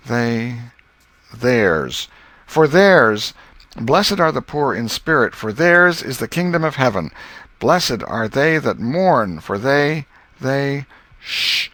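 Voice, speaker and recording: A man doing a flustered young boy reciting from memory, halting and stalling over every phrase in a voice pushed well above his own. He drawls the words slowly and grows whiny when he gets stuck. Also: medium pitch, fairly bright tone, no echo, pitched above the performer's own voice. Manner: halting, hesitant, whiny pleading. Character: boy stumbling through memorized verses, flustered.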